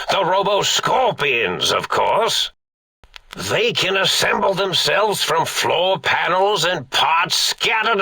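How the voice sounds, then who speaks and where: An eccentric old robot-brain scientist for a video game, rambling and grandiose. His delivery swings widely in pitch, with a bright, forceful edge and a raspy grain. He sounds addled yet theatrical, like a villain who loses his thread. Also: no echo, full character voice. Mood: bemused, chatty. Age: older. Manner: pompous, rambling, doddery, eccentric.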